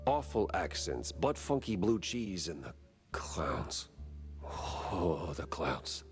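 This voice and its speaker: An actor playing a world-weary, wisecracking mouse private detective in a 1930s noir cartoon game, gruff and deadpan. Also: male voice, deep voice, slow pace, faint music behind, full character voice. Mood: dry, weary. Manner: deadpan, sardonic.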